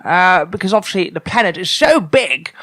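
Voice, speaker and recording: A man's voice: high voice, lively intonation, normal speed, pushed and bright, dry recording. A man playing a flustered, apologetic translator of alien languages in an improvised comedy sketch, hesitant, stammering, polite and rambling.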